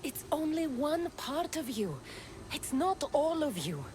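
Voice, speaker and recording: A woman's voice: high-pitched, sing-song, measured pace, noisy background. A woman voicing a tough, level-headed warrior woman in a video game, frank and firm in manner. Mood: reassuring. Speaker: investigator and fighter. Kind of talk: video game cutscene acting.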